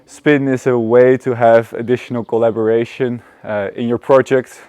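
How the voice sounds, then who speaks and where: A man in his twenties, a PhD student giving a relaxed self-introduction with fillers. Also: medium pitch, normal speed, clear, resonant tone, no room sound. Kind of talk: short self-introduction to camera.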